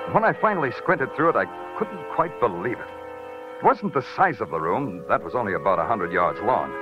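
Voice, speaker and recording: An actor playing a sardonic, hardboiled New York police detective with a literary turn, in 1940s radio style. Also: male voice, lowish voice, big pitch swings, brisk, music in the background. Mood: wry narration. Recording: dull vintage 1940s radio recording.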